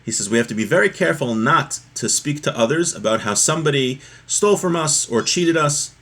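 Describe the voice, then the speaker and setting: A man's voice: a little high, expressive, fairly quick, fairly bright tone. Conversational man teaching religious text. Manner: conversational, explanatory, rephrasing key points with everyday examples. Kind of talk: informal text study, reading aloud and explaining to a livestream audience.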